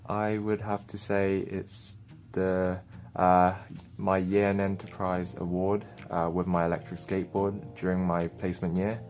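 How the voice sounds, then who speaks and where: Young guy candidate, really low voice, laid back, uhs, music under. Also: measured pace. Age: 20s. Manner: relaxed, hesitant with uhs. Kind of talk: casual interview answers.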